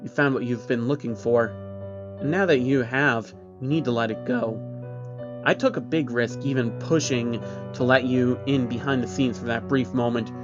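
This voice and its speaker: A man's voice: mid-range voice, normal speed, highs cut off, over music, natural voice. A man voicing a guarded, evasive but firm woman from a secretive group in a narrated horror story. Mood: stern warning.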